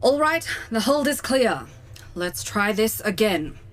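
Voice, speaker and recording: An actress playing a by-the-book, easily exasperated female starship captain, brisk and bossy, in a comedic sci-fi audio drama. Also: medium pitch, very animated. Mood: brisk, official.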